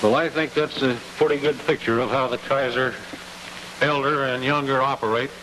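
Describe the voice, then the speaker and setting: A man's voice: mid-range voice, fairly even intonation, normal speed. An American man hosting a 1950s television interview, asking long questions in a folksy way. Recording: noisy, hissy 1950s television broadcast.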